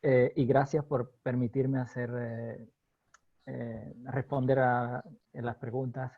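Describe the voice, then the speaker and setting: A Cuban man from Holguín, a law professor, answering interview questions in a measured, hesitant way, with many fillers and frequent laughs. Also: mid-range voice, flat delivery, dry recording, narrow, tinny audio. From Cuba, Holguín.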